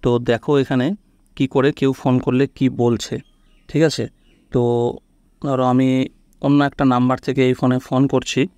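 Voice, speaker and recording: A man's voice: mid-range voice, level tone, moderate pace, long pauses, darkish tone, dry recording. A man hosts a casual step-by-step phone tutorial, instructing and often checking that the listener follows. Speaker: tech tutorial host.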